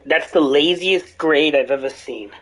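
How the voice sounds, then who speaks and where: A young man streaming a game, rambling and ranting, swearing now and then, his voice going hoarse. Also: a little high, a little slow, fairly bright tone, a little hiss or hum, low-quality recording. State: voice getting hoarse. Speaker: gaming streamer. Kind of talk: rambling live-stream chat.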